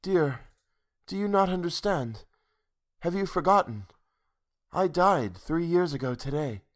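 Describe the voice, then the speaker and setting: A man voicing a tender, mournful young ghost woman in love, speaking in a hushed, pleading, halting way for a story. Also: on the low side, expressive, voice pushed up. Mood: gentle, pleading.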